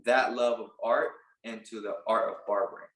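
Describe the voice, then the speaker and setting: A man in his late twenties from Puerto Rico, a barber telling his story in a casual interview, relaxed and halting with many fillers. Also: on the low side, roomy sound, rough recording. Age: late 20s. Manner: relaxed, halting, lots of "like" and restarts. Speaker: barber and business owner, guest.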